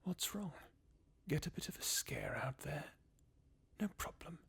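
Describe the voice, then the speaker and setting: A performer voicing a jaded, sardonic undead warrior from a fantasy video game, in a breathy, husky half-whisper with a weary, mocking manner. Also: medium pitch, lively intonation, unhurried, fairly bright tone, exaggerated voice. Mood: bleakly mocking. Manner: sardonic, weary, chuckling bleakly. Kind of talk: fan redub of video game dialogue, ASMR-like.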